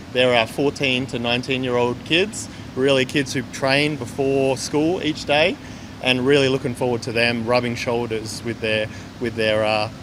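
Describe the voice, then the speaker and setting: An Australian man giving a halting press conference speech as a basketball club owner. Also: medium pitch. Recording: very noisy outdoor-sounding ambience.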